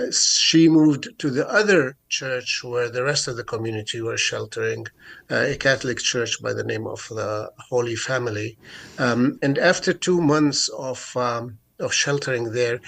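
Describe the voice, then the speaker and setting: A man's voice: mid-range voice, creaky, a bit bright. A middle-aged man from Jerusalem, a guest on a radio interview, speaking with many fillers and restarts. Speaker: guest activist.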